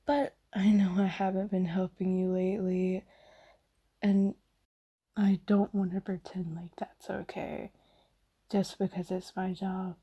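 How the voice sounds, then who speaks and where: A woman voicing a kindly, apologetic demon in a soft, half-whispered, hesitant and reassuring ASMR comfort roleplay. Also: medium pitch, level tone, unhurried, breathy edge. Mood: apologetic, gentle. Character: kindly apologetic female sleep paralysis demon, gentle comforter.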